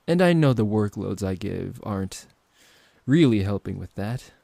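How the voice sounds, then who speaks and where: A man acting out a smooth-talking, possessive vampire professor in an intimate ASMR roleplay, politely condescending and teasing, close to the microphone. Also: lowish voice, lively intonation, normal speed, dark tone, no room sound. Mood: teasing, friendly. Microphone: close microphone, dry.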